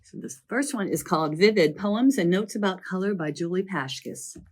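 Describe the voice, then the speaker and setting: Croaky woman librarian with a cold. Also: lowish voice. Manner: chatty, lively storytelling delivery. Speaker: children's librarian. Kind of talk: presenting a library program and summarizing books.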